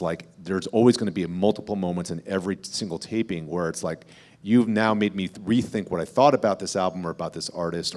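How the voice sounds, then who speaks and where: A man's voice: sits low, a little mellow. A man who hosts a podcast, talking in a casual, discursive way on a panel. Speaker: music podcast host and panelist.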